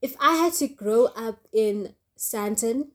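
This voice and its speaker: A woman from Soweto with a South African accent, hosting a casual solo podcast. She talks in a chatty, upbeat way, often asks "right?", fills pauses with "um" and mixes in township slang. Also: fairly high pitch, expressive. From Soweto, Johannesburg, South Africa. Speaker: podcast host.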